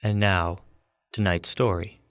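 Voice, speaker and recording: A man calmly introducing tonight's story as a radio host, in measured scripted narration. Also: low-pitched, noisy background. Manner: slow, calm, measured delivery.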